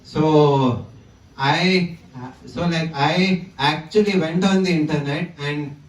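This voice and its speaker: A man's voice: on the high side, takes it slowly, roomy sound, distant mic, low-quality recording. A man, who stammers and repeats words as he tells his story to a conference audience. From South India. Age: 20s. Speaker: conference speaker. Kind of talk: personal talk to an audience. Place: conference hall.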